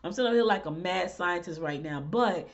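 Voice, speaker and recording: A woman hosting a podcast, chatting in a rambling, animated way. She sounds congested, with a stuffy nose. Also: lowish voice, fairly quick, muffled recording. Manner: rambling, animated, talks to listeners directly. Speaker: podcast host. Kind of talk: casual chatty monologue.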